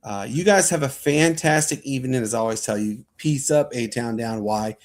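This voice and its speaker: A man's voice: medium pitch, moderate pace. An enthusiastic man hosts a casual sports livestream. He talks in a promotional, friendly-chat style, like someone signing off a show and plugging what comes next. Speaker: sports talk show host.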